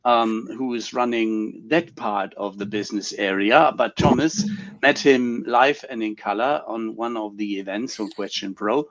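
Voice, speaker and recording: This is a male show host with a mid-range voice, opening the episode with a formal welcome. He pauses often on ums and uhs. The sound is dull and lo-fi. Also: mid-range voice. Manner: formal welcome, hesitant, many fillers. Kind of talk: casual show introduction.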